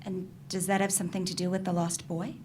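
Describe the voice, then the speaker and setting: A woman's voice: lowish voice, little pitch movement, fast talker, a little mellow, slight background noise, lightly acted. An actress reading the part of a candid, skeptical girlfriend in a staged reading of a novel, in a conversational, questioning way. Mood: puzzled, probing. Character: candid, curious, skeptical girlfriend probing her lover's secrets.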